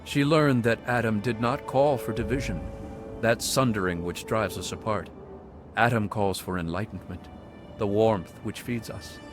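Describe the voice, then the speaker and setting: An actor playing a serene, zealous male cult monk in video game dialogue, speaking in a soft, pious, sermonizing way. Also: lowish voice, normal speed, music in the background, close to an everyday voice. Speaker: game character.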